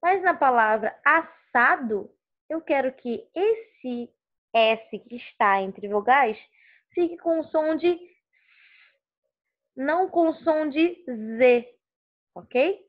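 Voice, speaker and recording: A woman's voice: high voice, lively intonation. A woman teaching a lesson on letters and sounds, explaining step by step and often checking with "ok?". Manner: slow, explanatory, checks understanding with "ok?". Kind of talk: recorded classroom lesson. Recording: tinny phone-like sound.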